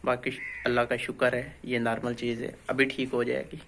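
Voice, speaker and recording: A man's voice: medium pitch, fairly quick, noisy background. A man with a Pakistani Urdu accent, talking plainly to viewers while he has a cold and a sore throat. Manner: flat, plain greeting to viewers.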